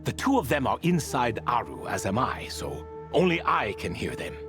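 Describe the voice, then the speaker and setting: A man's voice: mid-range voice, wildly swooping pitch, moderate pace, over music. A man voicing an ancient, whimsical and prideful sealed ibis spirit king in a video game, telling tales in grand archaic phrasing. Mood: eccentric musing. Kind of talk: scripted game dialogue.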